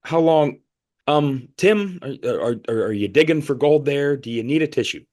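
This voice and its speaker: A man's voice: on the high side, lively intonation, normal speed, a little mellow, close to an everyday voice. A man playing a curious, flustered interviewer in an audio play, with a hesitant, stammering, inquisitive manner. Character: curious, flustered male interviewer visiting a strange commune.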